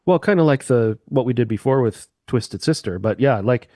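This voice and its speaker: A man's voice: mid-range voice, expressive, normal speed, mellow, no room sound, faint music behind. Man explaining with eager comparisons, dry sound. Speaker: musician guest. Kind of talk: casual explanatory chat.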